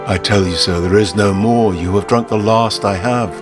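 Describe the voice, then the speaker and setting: A man playing a grave, courteous barman in a radio story, speaking in formal, measured phrases, pleading and ominous. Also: fairly low pitch, fairly even intonation, over music, natural voice. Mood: firm, pleading. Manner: formal, measured, addresses customer as sir. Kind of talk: dramatic radio short-story acting.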